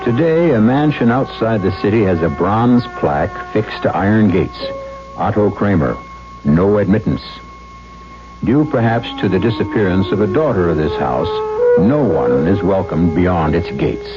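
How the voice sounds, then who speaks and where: A man narrating a 1970s radio mystery drama in a measured, portentous manner, as a grave storyteller. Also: low voice, expressive. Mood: ominous, dramatic. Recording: dull 1970s radio broadcast, music behind.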